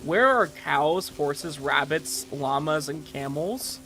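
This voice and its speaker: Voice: mid-range voice, lively intonation, takes it slowly, sharp tone. A streamer giving casual, rambling and emphatic commentary while gaming. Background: game music.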